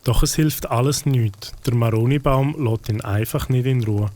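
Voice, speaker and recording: A male narrator tells a children's story. His mid-pitched voice stays calm and even. The narration is scripted. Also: moderate pace.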